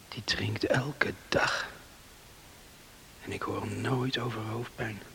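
An actor from a radio play, playing a hot-headed, befuddled young countryman, speaking in agitated, halting exclamations. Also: mid-range voice, sharp tone, full character voice. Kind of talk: radio drama acting. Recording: hissy old radio play recording.

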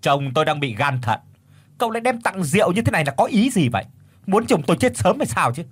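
A man's voice: big pitch swings, moderate pace. A man voicing a scornful middle-aged mother who mocks and scolds. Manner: mocking, scolding, high and loud.

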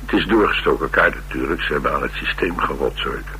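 An actor playing a rough, hard-drinking drifter and former mechanic in a radio drama, speaking tersely with dry, slangy nonchalance. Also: male voice, a bass voice, fast talker, bright, forceful tone, exaggerated voice. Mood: wry, nonchalant. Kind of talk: naturalistic Dutch radio drama acting. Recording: radio recording with background hiss and hum.

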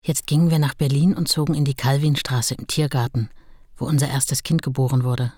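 An actress playing a sober, plain-spoken writer's wife who reminisces matter-of-factly in a radio play. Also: female voice, rumbling low, steady tone, a little fast, warm tone, dry recording, clean studio sound. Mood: fond. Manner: matter-of-fact, unadorned.

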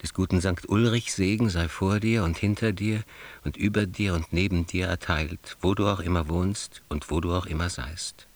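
A man's voice: sits low, steady tone, normal speed, a little hiss or hum. A man reciting an old blessing in a solemn, incantatory manner.

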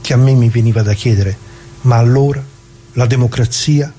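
A man's voice: mid-range voice, moderate pace, very noisy, close to an everyday voice. An actor playing a plain-spoken left-wing worker who recalls the past in a colloquial theatrical monologue, putting questions to his listeners. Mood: reflective, troubled. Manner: conversational, rhetorical questions to listeners. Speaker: narrator of radio drama.

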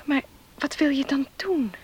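A woman playing a gentle, pious young fiancée in a Dutch radio play, earnest and pleading, with a breathy voice. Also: sits high, measured pace, dull audio, a little hiss or hum.

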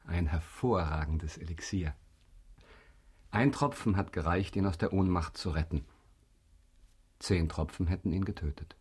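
Radio drama lead: calculating aristocrat, low husky voice, courteous, deliberate, quietly insinuating. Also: male voice. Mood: suave, calculating. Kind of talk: German radio drama acting.

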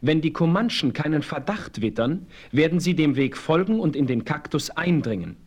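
A man's voice: a little high, expressive, normal speed, darkish tone, husky. A man playing a noble, commanding Apache chief who speaks formally and declaims in a German radio play. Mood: composed.

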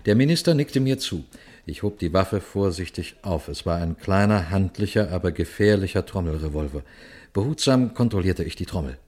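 An actor playing a sober, watchful police detective, telling his case in a measured, formal radio crime drama style. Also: sits low, expressive, darkish tone. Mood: tense, observant. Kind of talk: radio crime drama, suspenseful first-person narration and dialogue.

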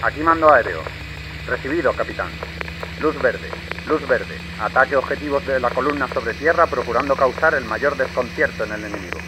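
Audio drama: authoritative, icy air commander played by a man, mid-pitched, terse orders, clear background noise. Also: a little fast, lightly acted. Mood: cold, commanding. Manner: clipped, commanding.

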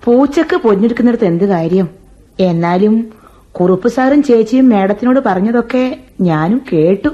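Radio play: actress as a chatty, sharp-tongued maid, mellow tone, dull old broadcast sound. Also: female voice, medium pitch, expressive, normal speed, lightly acted. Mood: gossipy. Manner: gossipy, wry, colloquial. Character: gossipy village housemaid Meenakshi, sharp-tongued. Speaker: radio drama actor. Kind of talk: 1980s Indian radio play acting. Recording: dull old radio recording with faint music.

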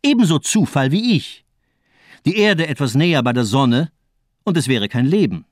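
Mocking, fanatical villain doctor played by a man, dramatically animated. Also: fairly high pitch, moderate pace, dry recording, clean studio sound, close to an everyday voice. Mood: fervent, grandiose. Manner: rhetorical, mocking, declamatory monologues. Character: cold fanatical nihilist doctor, verbose male murderer. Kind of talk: German radio crime drama acting.

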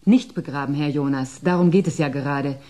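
Actress as a dark-toned, formal female bureaucrat. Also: low voice, lightly acted. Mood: grave, discreet. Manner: formal, brisk, condescending. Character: formal, cool female senior culture official. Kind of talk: German radio play acting.

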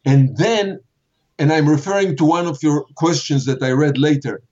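A man's voice: a little high, normal speed, darkish tone. Older man in a muffled interview. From Poland. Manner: rambling, repeats words, chuckles often. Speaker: film director interviewee. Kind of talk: relaxed interview answers.

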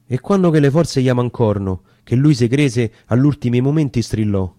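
A man's voice: medium pitch, expressive, moderate pace, dark tone, dry recording, clean studio sound. A man with a Roman accent reading narrative dialect poetry in a dramatic style. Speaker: poetry reader.

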